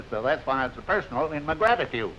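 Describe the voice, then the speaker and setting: A man's voice: medium pitch, sing-song, a little fast, natural voice. An actor playing an eager, folksy small-town American filling station dealer in the style of a 1940s sponsored film, with colloquial salesman talk. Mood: grateful, earnest. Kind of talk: 1940s sponsored film acting, scripted pitch. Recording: hissy, dull 1940s film soundtrack.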